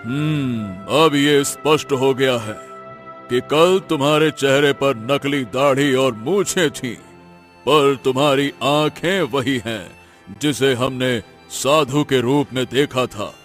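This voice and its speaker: A man's voice: mid-range voice, slow pace, close to an everyday voice. A man playing a proud, outwitted king in a cartoon story, with a dramatic, stately delivery over music. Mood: stern. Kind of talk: animated story character acting. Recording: background music under the voice.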